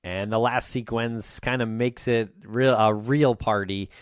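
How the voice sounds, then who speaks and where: A man's voice: mid-range voice, steady tone, smooth, dry recording, clean studio sound. A man co-hosting a podcast, chatting casually at a convention booth and laughing often. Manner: laughs often, quick interjections. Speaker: podcast co-host. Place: busy convention floor booth.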